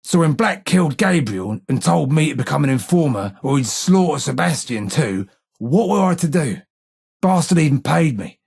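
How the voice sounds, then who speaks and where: A weary old London underworld informer for a video game. The voice is rough with fry and rasp, carrying a London accent. The delivery is measured and wry, full of street slang and old guilt. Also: male voice, mid-range voice. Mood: weary, remorseful. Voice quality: husky, gravelly. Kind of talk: video game character dialogue.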